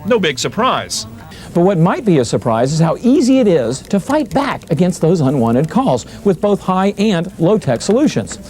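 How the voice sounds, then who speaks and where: High-pitched, lively American male TV reporter. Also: moderate pace. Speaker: consumer finance reporter. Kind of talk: scripted TV news report narration.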